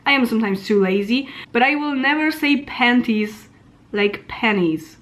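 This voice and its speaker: A woman's voice: on the high side, lively intonation, moderate pace, fairly bright tone. A woman in her twenties with a Polish accent, chatting informally and self-deprecatingly as she muses aloud. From Poland. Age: 20s. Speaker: vlogger. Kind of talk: casual chat about pronunciation.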